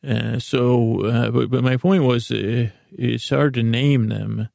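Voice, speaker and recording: Middle aged guy rambling on his podcast, kinda goofy, croaky voice, super dry recording, keeps restarting and wandering off. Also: medium pitch, fairly even intonation, a little mellow, no room sound. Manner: meandering, halting, repeats and trails off into tangents; silly, whimsical. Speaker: podcast host. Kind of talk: rambling comedic monologue.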